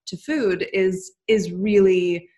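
A woman's voice: medium pitch, fairly even intonation, takes it slowly, dark tone. A woman in her thirties from New York, telling her story as an interview guest in a chatty, rambling way. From New York, USA. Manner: chatty, rambling, many "you know" and "like" fillers. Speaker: business co-owner.

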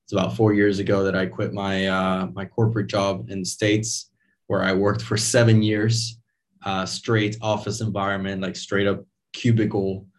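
A man's voice: deep voice, little pitch movement, moderate pace. A man in his thirties from Venezuela, raised in the States, talking casually as an interview guest, rambling with many fillers and restarts. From Venezuela, raised in Miami. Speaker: interview guest, digital nomad.

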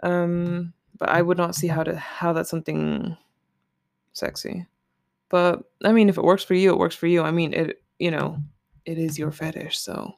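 Solo podcast host just chatting, kinda gravelly fry in the voice, candid and sweary, super dry sound. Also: mid-range voice, level tone, normal speed, no room sound. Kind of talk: casual rambling chat, commenting on a list.

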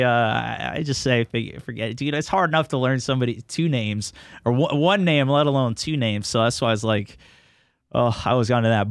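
A man's voice: mid-range voice, dry recording. A man hosting a gaming podcast in a casual, chummy way, chatting informally with his guest. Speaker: podcast host.

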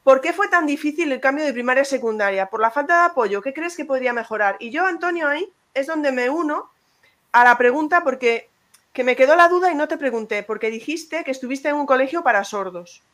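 A woman's voice: sits high, animated, fairly quick, a bit bright. A woman hosting an interview who reads viewer comments aloud and puts questions to her guest. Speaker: host. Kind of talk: hosting a live-stream interview.

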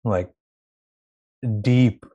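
A man's voice: lowish voice, extremely slow, mellow, breathy edge, dull audio. A man who grew up in India, now a young adult, speaking in a thoughtful, searching way as an interview guest, often restarting his sentences. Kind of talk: reflective conversational interview.

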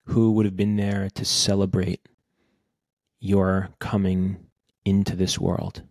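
A man with a deep, low-pitched voice guides a meditation. His delivery is gentle and unhurried, with little rise and fall, and he leaves long pauses between short phrases. The recording is close and dry. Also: level tone, creaky, no room sound. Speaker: podcast host guiding a meditation.

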